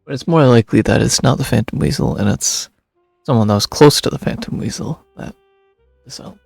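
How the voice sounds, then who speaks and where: Guy streamer, gravelly husky voice, chill offhand game commentary, bit of music behind. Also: medium pitch, fairly quick, a little mellow, dry recording. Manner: offhand, amused asides. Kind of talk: casual gameplay commentary.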